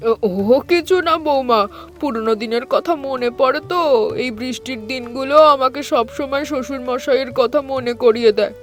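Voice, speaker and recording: A man's voice: very high voice, moderate pace, a bit bright, faint music behind. A man voicing a caring, fussing elderly mother-in-law in a cartoon story. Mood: gently caring.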